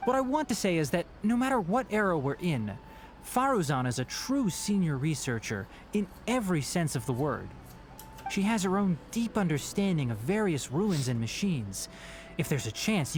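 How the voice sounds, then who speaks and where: A man playing an earnest, thoughtful scholar in a video game, explaining things in a measured, respectful way. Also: fairly high pitch, very animated, faint music behind. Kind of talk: video game voice acting, storytelling.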